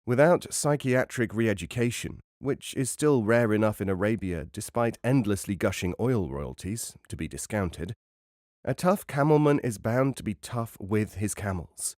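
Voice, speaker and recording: A man reading a travel memoir as an audiobook narrator, in a measured, wry and formal literary manner. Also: on the low side, animated, darkish tone, no room sound, clean studio sound.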